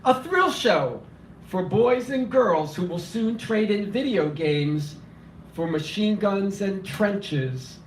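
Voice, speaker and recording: A man reading his spoken word poetry at a cafe open mic, impassioned and declamatory, his voice slightly hoarse and weak. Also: sits high, lively intonation, slow, a little hiss or hum. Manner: impassioned, declamatory, rhythmic repetition. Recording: echoey room, voice from far off.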